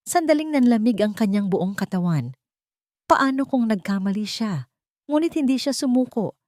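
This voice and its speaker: A woman narrating a scripted dramatic story in measured, suspenseful phrasing. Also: a little high, animated, darkish tone, no echo, studio quality.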